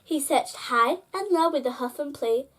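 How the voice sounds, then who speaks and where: Female storyteller with a high-pitched voice for children's bedtime picture books, engaging young listeners directly. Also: animated, normal speed, fairly bright tone. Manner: addresses child listeners with prompting questions. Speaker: host reading children's picture books aloud.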